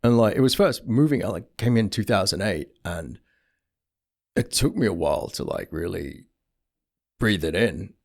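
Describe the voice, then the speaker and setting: A man born in England and raised in Switzerland, an actor guest chatting casually and telling animated stories. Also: lowish voice, normal speed, vocal fry. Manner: animated storyteller, swings between eager and soft asides. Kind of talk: casual interview chat.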